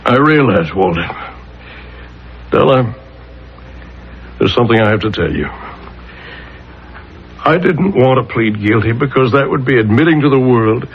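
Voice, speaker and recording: An actor playing a troubled, defensive married man, halting and emotional, in a 1970s radio drama. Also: medium pitch, lively intonation, a little hiss or hum, lightly acted. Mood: ashamed, subdued. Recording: dull lo-fi 1970s radio recording.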